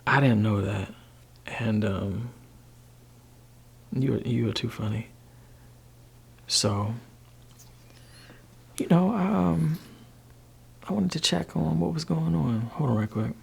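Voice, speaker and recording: A man voicing a gentle, laid-back father in an ASMR roleplay, talking softly and whispering to his teenage child in a teasing way. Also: takes it slowly, creaky, pauses often, breathy edge, heavily acted. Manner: soft-spoken, shifting between low voice and whisper. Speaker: ASMR creator.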